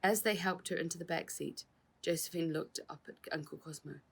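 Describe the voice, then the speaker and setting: A woman narrates a children's storybook in a low voice with some gravel in it. Her reading is expressive and shifts for the characters' dialogue. The tone has a husky grain. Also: moderate pace. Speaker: author reading her own book aloud.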